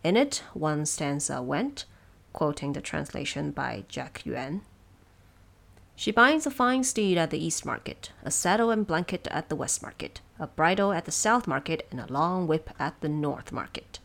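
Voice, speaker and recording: A woman presenting explanatory production notes from a script in a measured, instructive way. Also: low voice, expressive, creaky, fairly bright tone. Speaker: audio drama producer and presenter.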